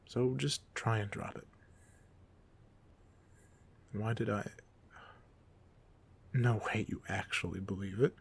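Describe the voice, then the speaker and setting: A man playing a goofy, soft-hearted supervillain off duty in an audio roleplay, talking casually with teasing, self-deprecating humor. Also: on the low side, slow pace, gravelly, long pauses, husky, full character voice. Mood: exasperated, frank. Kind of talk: ASMR roleplay monologue, casual conversational acting.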